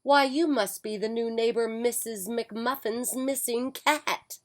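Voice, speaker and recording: A woman's voice: fairly high pitch, slow pace, voice pushed up. Cheerful, courteous mom in a storybook, read by a woman. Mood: gracious. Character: polite, cheerful mother and hostess.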